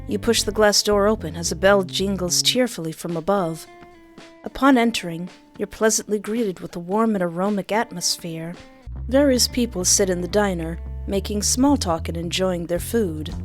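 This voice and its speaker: A woman's voice: medium pitch, moderate pace, soft background music. Playful woman doing a let's-play. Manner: playful, expressive. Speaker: game streamer reading the narration aloud. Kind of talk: playful let's-play commentary and read-aloud narration.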